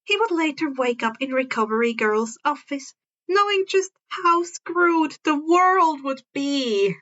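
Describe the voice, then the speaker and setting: Woman w a high voice reading fanfic out loud, trips on words a bit, slightly muffled mic. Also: animated, unhurried. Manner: stumbles and rereads words. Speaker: narrator reading fan fiction. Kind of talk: informal story narration.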